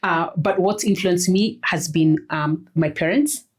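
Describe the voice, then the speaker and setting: A woman in her fifties with a somewhat low voice. She reflects on her own life in an unhurried, storytelling way during a relaxed roundtable chat. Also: slightly rough. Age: 50s. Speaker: roundtable guest. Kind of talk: casual chat.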